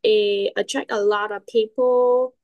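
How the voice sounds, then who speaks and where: A woman's voice: mid-range voice, steady tone, measured pace, no room sound, highs cut off. A woman from Vietnam with a Vietnamese accent, an English learner hesitantly searching for words in a one-on-one lesson.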